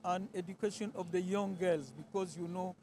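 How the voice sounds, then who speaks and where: A man's voice: high voice, steady tone, normal speed, a little mellow, slight background noise. A man interviewed about education, speaking earnestly.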